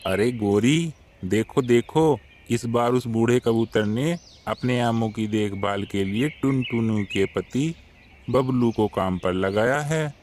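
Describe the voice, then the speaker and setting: Man as scheming cartoon crow, conspiratorial, unhurried, medium pitch, faint background noise. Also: a little mellow, natural voice. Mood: sly, scheming. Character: sly, scheming adult male crow thief. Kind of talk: Hindi cartoon moral story voice acting.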